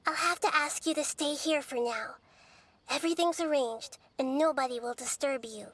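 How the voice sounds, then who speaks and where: A gentle, wise girl goddess. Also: sits high. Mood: reassuring. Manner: soft, measured and reassuring. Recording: game audio with loud music.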